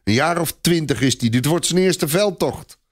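A man voicing a proud, blustering Breton knight commander in an audio story, gruff and emphatic. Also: a little high, animated, normal speed, voice pushed up, natural voice. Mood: indignant.

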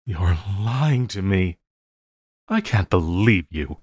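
A man playing a possessive supervillain lover in an intimate audio roleplay, coaxing and pleading with a halting delivery and quiet menace. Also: low voice, sing-song, husky, dry recording, studio quality, exaggerated voice. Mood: suspicious, wounded.

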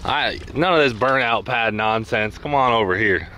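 A man in his thirties chatting casually and excitedly in slang, as a car vlog host. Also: mid-range voice, normal speed. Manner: slangy, excited bro talk. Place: in a car and outdoors at a racetrack. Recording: loud car and outdoor noise.

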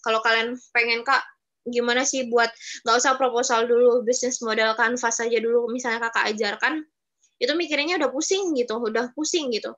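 A woman's voice: on the high side, steady tone, brisk, a bit bright, dull audio. A woman in her twenties teaching students in a casual, chatty way over a video call. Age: 20s. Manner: chatty, addresses students as younger siblings. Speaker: webinar speaker.

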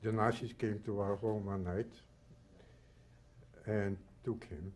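A man in his eighties from the Netherlands and Belgium, reading a prepared personal testimony in measured, solemn short phrases. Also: lowish voice, fairly even intonation, darkish tone, slight background noise. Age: 80s. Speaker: Holocaust survivor giving testimony.

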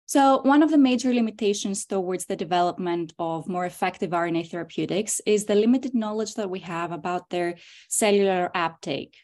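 A woman in her twenties from Greece, presenting her graduate research in a steady, explanatory way on a video call. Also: on the low side, brisk. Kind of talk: scientific webinar talk. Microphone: computer mic on a video call.

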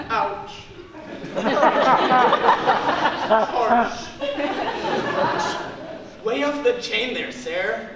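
Distant noisy stage recording, man acting as laid-back stoner dude, slow drawl, spacey. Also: very high-pitched, very animated, heavy reverb, over a noisy crowd, full character voice. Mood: amused. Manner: slow, drawling slacker talk.

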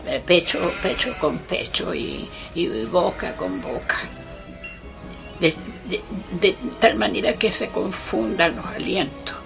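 Gravelly, deep old Chilean healer woman. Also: over music, heavily acted. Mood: halting, instructing. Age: older. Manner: halting, rustic, urgent incantatory speech. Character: old Mapuche machi healer woman, solemn and insistent. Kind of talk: radio drama acting.

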